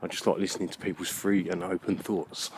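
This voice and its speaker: A man vlogging casually on a walk outdoors, thinking aloud in a halting, rambling way. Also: sits low, flat delivery. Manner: musing, halting, with unfinished thoughts. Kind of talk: casual rambling monologue while walking. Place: outdoors in a park at night. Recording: noisy outdoor handheld recording.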